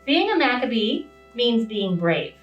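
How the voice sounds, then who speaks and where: Woman, teacherly read-aloud to small kids, animated pitch, echoey distant recording. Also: measured pace. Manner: teacherly, asks simple questions. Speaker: preschool educator reading to children. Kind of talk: interactive read-aloud to young children. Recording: distant, roomy, slightly dull, faint music.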